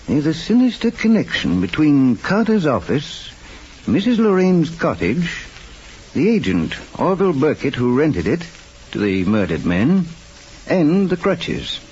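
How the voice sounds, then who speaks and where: An actor playing a calm, authoritative detective in an old-time radio drama, speaking in a measured, formal, courteous way. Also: male voice, a little high, slightly rough, exaggerated voice. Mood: assured. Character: calm, authoritative male detective, courteous but firm investigator. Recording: muffled, noisy old radio recording.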